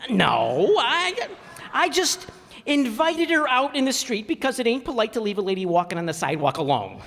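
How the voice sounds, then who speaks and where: A woman's voice: a little high, big pitch swings, moderate pace. A woman playing a bumbling, playful working-class father who talks in hesitant, folksy, ungrammatical speech in a 1940s-style radio sitcom. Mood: mischievous. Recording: echoey hall stage recording.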